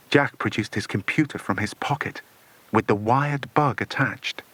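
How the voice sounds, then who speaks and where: A man reading a children's adventure story as a dramatic, expressive audiobook narrator. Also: mid-range voice, rough recording.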